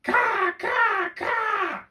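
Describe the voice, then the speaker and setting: A man voicing three mocking ravens in a spoken folktale, cawing loudly and jeering at foolish humans. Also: big pitch swings, a little slow, noisy background. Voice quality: loud shrill cawing, jeering.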